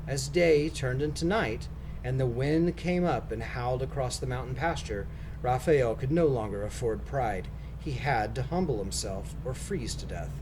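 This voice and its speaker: A man reading a children's storybook aloud, narrating with expressive, deliberate phrasing. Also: mid-range voice, background noise. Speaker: storybook reader for schoolchildren.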